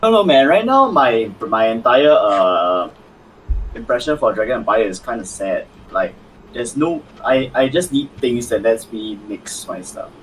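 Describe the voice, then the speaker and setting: A man on a card game stream, talking in a lively, bouncing voice. He laughs easily and restarts his sentences as he goes. A faint hum of ambience sits underneath. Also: mid-range voice, unhurried, poor audio. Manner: animated, laughing, many restarts. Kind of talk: casual chat.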